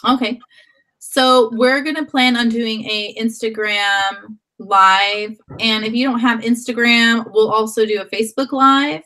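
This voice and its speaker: A woman with a slightly high voice hosts a live online chat. She has a sore throat from a cold, and she rambles and restarts her sentences as she talks over a video call. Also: fairly even intonation, measured pace, pushed and bright. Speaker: host.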